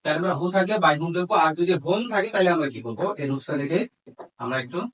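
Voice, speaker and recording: A man's voice: on the high side, expressive, quick pace, fairly bright tone. A man with a Bangladeshi accent teaching step by step, mixing Bengali with English terms and dictating piece by piece. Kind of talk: instructional lesson, explaining step by step.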